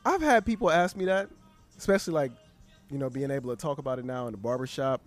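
A man's voice: mid-range voice, lively intonation, quick pace, faint music behind. Thoughtful man hosting a podcast. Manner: agreeable, thoughtful, hesitant with fillers. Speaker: podcast host. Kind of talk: casual conversation.

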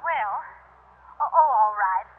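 An actress playing a polite, businesslike adoption agency worker, formal and conciliatory, in classic radio drama style. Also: female voice, medium pitch, expressive, takes it slowly. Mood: businesslike, careful. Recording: tinny telephone voice, noisy old radio.

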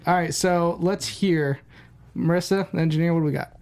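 A man co-hosting a show with animated, casual, joking chat. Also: fairly high pitch, fairly quick. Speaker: podcast co-host.

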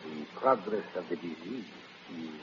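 A man's voice: sits low, animated, moderate pace. An actor playing a callous, domineering male scientist in a vintage radio drama, speaking in a clipped, stiff, formal way. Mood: dismissive. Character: cold, callous male scientist, domineering villain without pity. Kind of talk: 1940s radio melodrama acting. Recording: muffled, noisy vintage radio recording.

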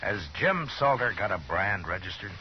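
An actor playing a steady, laconic frontier lawman with measured, understated delivery in 1950s radio Western style. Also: fairly low pitch. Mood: polite, businesslike. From American. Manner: measured, understated, terse questioning. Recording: muffled, hissy 1950s radio recording.

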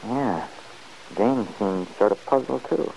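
A man playing a restless, stubborn middle-aged man who answers gruffly and defensively, in 1940s radio acting. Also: fairly low pitch, takes it slowly, warm tone, slight background noise, poor audio.

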